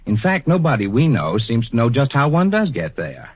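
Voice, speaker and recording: An actor playing a patient, kindly bureaucrat in 1940s radio drama, measured and officious with small hesitations. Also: male voice, medium pitch, huge pitch swings. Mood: patient, official. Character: patient, kindly, bureaucratic male superintendent of the afterlife. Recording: dull, husky old radio recording.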